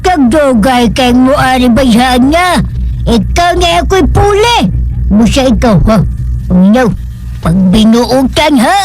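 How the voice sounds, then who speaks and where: A woman's voice: sits high, sing-song, unhurried, full character voice, poor audio. A woman playing a bossy but caring eatery-keeping auntie in a radio drama, admonishing in a folksy way. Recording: music behind radio drama.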